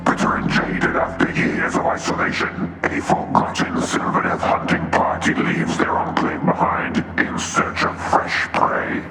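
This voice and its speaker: A man's voice: unhurried, few pauses, sharp tone. A man reading a scripted fantasy introduction in a grave, ominous storytelling manner. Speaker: narrator. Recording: echoing voice under clear music. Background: music.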